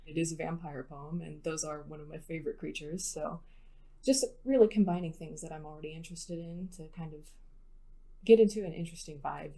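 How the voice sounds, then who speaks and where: A woman's voice: sits low, fairly quick, mellow. A woman poet answering interview questions in a reflective, informal, conversational way. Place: recording studio.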